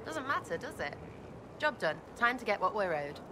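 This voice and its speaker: An actress playing a blunt, irreverent young elf archer in a game cutscene, talking in a casual, clipped way. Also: female voice, medium pitch, very animated, normal speed, noisy background, natural voice. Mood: cheeky.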